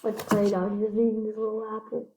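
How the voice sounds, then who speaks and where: A woman reading a children's picture book aloud, slightly halting, repeating phrases and adding casual asides. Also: mid-range voice, level tone, darkish tone, a little hiss or hum. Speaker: read-aloud storyteller. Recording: echoey room.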